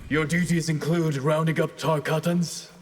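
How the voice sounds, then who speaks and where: An actor playing an honourable, determined ninja warrior in a video game, earnest and formal. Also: male voice, fairly high pitch, level tone, moderate pace, natural voice. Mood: earnest, resolute. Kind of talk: video game character dialogue. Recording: loud noise behind the voice.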